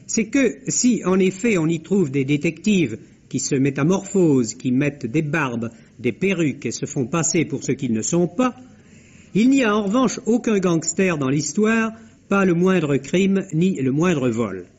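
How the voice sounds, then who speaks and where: A male film critic speaks in a raised, slightly husky register. He reads his review in an explanatory, emphatic 1950s radio style. The sound is muffled and old. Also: on the high side, expressive, unhurried, a little mellow. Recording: dull lo-fi old radio recording.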